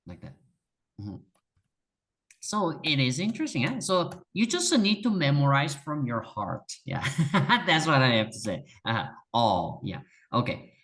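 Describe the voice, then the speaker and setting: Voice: medium pitch, big pitch swings, unhurried, a bit bright. A native Korean speaker with a Korean accent, teaching a beginner one-on-one in a patient, encouraging way and repeating words for emphasis. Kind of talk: one-on-one language lesson.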